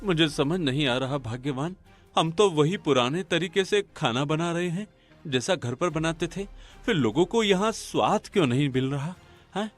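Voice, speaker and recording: A man's voice: fairly high pitch, animated, normal speed, faint music behind, lightly acted. A man voicing an earnest, trusting young village husband in a cartoon. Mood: puzzled, worried.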